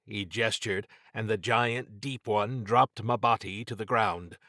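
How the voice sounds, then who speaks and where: A man narrating horror fiction as an audiobook, with measured, dramatic storytelling. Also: fairly low pitch, sharp tone, no echo, clean studio sound.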